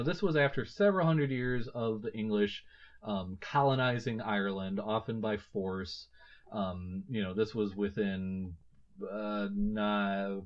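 A man in his thirties hosting a casual book-discussion podcast, thinking aloud with many fillers and restarts. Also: on the low side. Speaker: podcast host. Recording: slightly muffled lo-fi audio.

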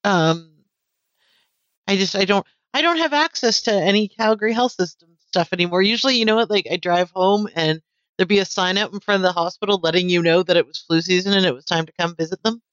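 A woman's voice: medium pitch, a little fast, fairly bright tone, highs cut off. A woman talking in casual, informal conversation. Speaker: airport worker. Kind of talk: casual chat.

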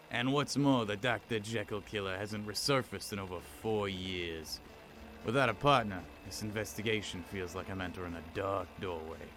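A man's voice: fairly low pitch, a bit bright, lightly acted. A man playing an earnest, brooding police detective with a poetic streak in an audio drama. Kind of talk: audio drama acting with noir-style diary narration.

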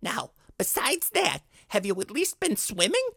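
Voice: medium pitch, huge pitch swings, a little slow, a bit bright, husky, no echo, studio quality, heavily acted. An actor playing a fussy, eager, corny middle-aged dad in a scripted comedy podcast, speaking in an earnest, theatrical way. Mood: worried.

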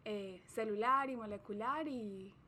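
A woman from talking as a guest scientist in an unhurried, friendly way. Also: a little high, sharp tone, a little hiss or hum. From Colombia. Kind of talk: relaxed interview chat.

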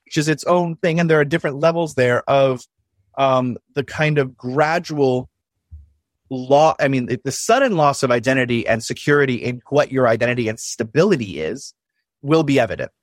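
Reflective man, mid pitch, long explanations, dry close mic. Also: normal speed, no echo. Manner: reflective, explains at length with rhetorical questions. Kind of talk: casual discussion.

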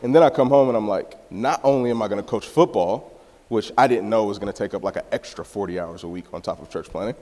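A man, a church planter, telling stories casually on a panel, animated and full of "like". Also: on the low side, fast. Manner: animated storytelling, filler "like". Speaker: church planter and football coach. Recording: reverberant hall.

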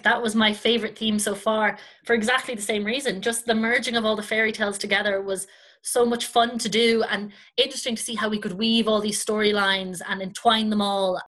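A woman's voice: medium pitch, steady tone, brisk, fairly bright tone. An Irish woman, a children's performer hosting a podcast, chatting casually. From Ireland.

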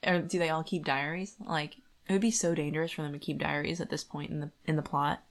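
Low-pitched woman chatting as podcast co-host. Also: steady tone, fast talker. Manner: colloquial, acts out quoted lines conversationally. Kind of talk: casual book discussion chat.

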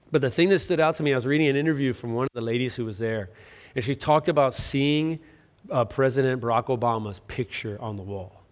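A middle-aged man preaching a sermon as pastor, conversational and self-deprecating, with frequent restarts and fillers. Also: medium pitch. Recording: long reverb of a large hall.

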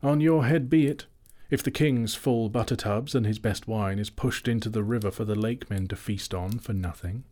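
A man's voice: medium pitch, expressive, fairly quick, warm tone, slightly rough. A man voicing a group of merry male elves, calling out with mockery and impatience in a storybook reading. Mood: mocking. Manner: jeering, impatient calls.

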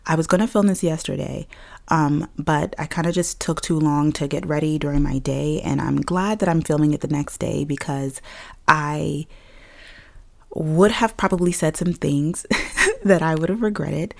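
A young woman hosting a casual solo podcast, her voice raspy and a bit deeper than usual from a scratchy throat, chatty with frequent laughs and fillers. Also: moderate pace. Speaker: podcast host. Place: at home, filming herself.

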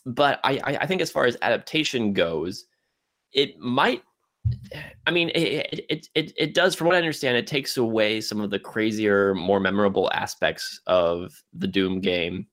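A man hosting a casual film discussion, speaking haltingly and often repeating words as he works toward his point. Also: fairly low pitch, a bit bright. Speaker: film podcast host.

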